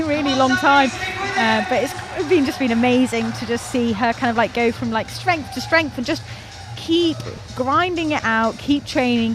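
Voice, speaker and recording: A woman's voice: high voice, rough recording. Upbeat woman commentating with music behind. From North Wales. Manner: chatty, enthusiastic, quick to laugh at herself. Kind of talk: live climbing competition commentary.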